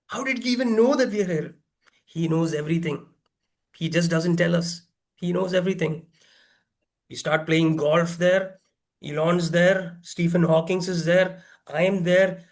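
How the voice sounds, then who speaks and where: A man giving a humorous, exaggerated storytelling speech, theatrically acting out dialogue and putting rhetorical questions to listeners. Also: on the high side. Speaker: workshop speaker telling a tall tale.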